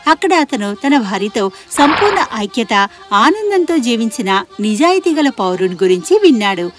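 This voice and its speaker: A woman tells a children's story from a script. Her voice is rather high and very melodic, with expressive storytelling delivery over light music. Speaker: narrator.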